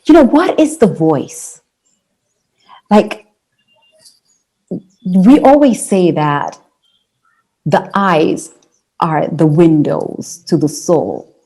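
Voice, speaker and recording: A woman from Ethiopia, with Amharic as her first language, talks through her story as an interview guest. Her tone is mellow and dark, and she tells it in a loose, chatty way, restarting phrases and dropping in fillers. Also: lowish voice, lively intonation, drawn-out words, pauses often, rough recording. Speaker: interview guest, singer and speaker. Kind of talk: conversational interview.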